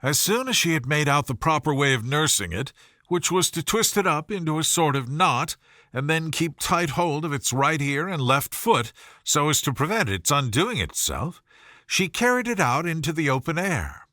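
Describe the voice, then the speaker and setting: A man's voice: on the high side, very animated, moderate pace, pushed and bright, no echo, clean studio sound. A man narrating a children's story from a script as an audiobook reader.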